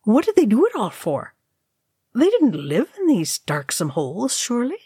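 A woman's voice: fairly high pitch, wildly swooping pitch, moderate pace, warm tone, breathy edge, dry recording, studio quality, pitched above the performer's own voice, exaggerated voice. A woman voicing a wide-eyed, plain-spoken male hobbit in a storybook, with a rustic, wondering manner.

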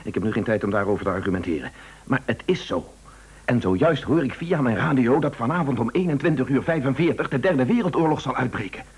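An actor playing a determined news agency boss in a Dutch radio play, speaking urgently and insistently with hesitations. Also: male voice, mid-range voice, quick pace, breathy edge.

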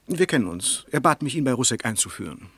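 Sardonic gossiping businessman, animated. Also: medium pitch, fast, breathy edge, no echo, exaggerated voice. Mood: mocking. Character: gossiping, scornful bourgeois businessman, calculating and mocking. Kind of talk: German radio play acting.

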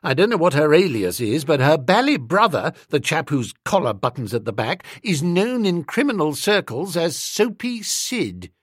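A man's voice: a little high, sing-song, moderate pace, few pauses, slightly rough, dry recording, studio quality. A man playing an indignant, breezy young upper-class Englishman in a storybook, with a posh British accent and slangy, emphatic talk.

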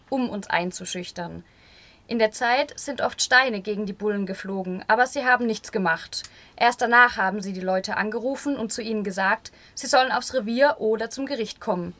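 A woman's voice: mid-range voice, sharp tone. A woman speaking as an activist interviewee, giving explanatory answers in an interview.

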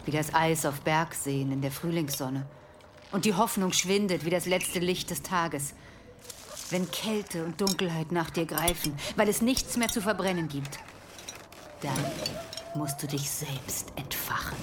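Grave poetic narrator, lowish voice, hushed and measured, noisy ambience behind. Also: female voice. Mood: solemn, foreboding. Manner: measured, grave, falling to a whisper. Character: solemn, fateful female narrator. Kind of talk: solemn poetic radio drama narration.

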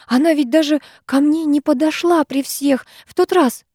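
A woman's voice: sits high, moderate pace, darkish tone, no room sound, studio quality, voice pushed up, close to an everyday voice. A woman reading an audiobook, voicing a desperate teenage schoolgirl who pleads through tears with a breaking voice. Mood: tearful. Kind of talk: audiobook character voice.

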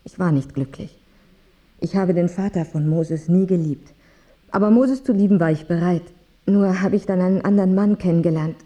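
A woman's voice: on the low side, normal speed, mellow, lightly acted. An actress playing an anxious, remorseful mother in a radio drama, speaking hesitantly. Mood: confessional, earnest. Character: anxious, remorseful mother who left her son long ago.

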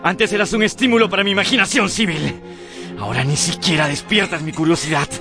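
An actor playing a cruel, anguished young gentleman in a radio drama, with a theatrical, scornful delivery. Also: high-pitched.

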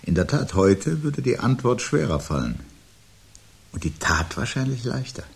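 An actor playing a brooding, dryly ironic man in a literary radio play, speaking formal, measured lines with a husky voice. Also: medium pitch, sing-song, heavily acted. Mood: resigned, ironic. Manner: measured, dry, articulate, formal. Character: brooding, dryly ironic man awaiting looming catastrophe at home.

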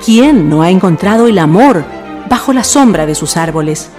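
A woman's voice: fairly low pitch, lively intonation, moderate pace, a little mellow. A woman with a Chilean accent narrating a scripted radio drama as its host, in a storytelling style. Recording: music behind the voice. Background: music.

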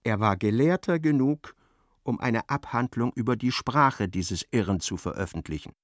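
A man narrating a literary radio play in a measured, dryly ironic way. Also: mid-range voice, animated, no echo, studio quality, close to an everyday voice.

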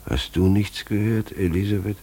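A man's voice: fairly low pitch, steady tone, normal speed, mellow. An actor playing a brooding middle-aged farmer and father in a German radio play, ponderous, uneasy and searching.

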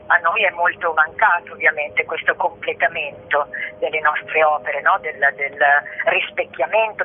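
A woman answering as an interview guest, reflective and halting as she searches for words. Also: lowish voice. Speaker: stage actress, interview guest. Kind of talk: spoken interview. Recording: noisy, tinny phone line.

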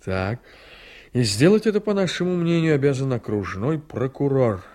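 A male actor as a sardonic man in a radio drama. He has a medium-pitched voice and reads aloud deliberately, weighing phrases with irony. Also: sing-song, mellow, lightly acted. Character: sardonic man scrutinising a hostile newspaper editorial. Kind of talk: Soviet radio drama acting, reading aloud.

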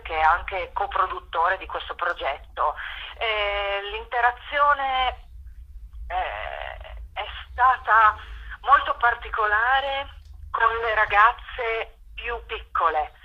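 A woman giving reflective, hesitant interview answers over the telephone, repeating phrases for emphasis. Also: mid-range voice. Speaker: theatre director, interview guest. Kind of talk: unscripted radio interview answers. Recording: dull, lo-fi telephone call to radio.

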